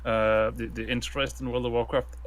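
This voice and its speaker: A man's voice: medium pitch, flat delivery, a little fast. A man from Denmark with a Danish accent, co-hosting a casual gaming podcast in a hesitant way, repeating words and saying uh often. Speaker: co-host.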